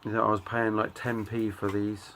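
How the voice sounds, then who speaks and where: Low-voiced middle-aged man, slightly gravelly. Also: level tone, a bit bright, a little hiss or hum. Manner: halting, offhand, reads out titles in short bursts. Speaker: record collector and channel host. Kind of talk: casual show-and-tell commentary.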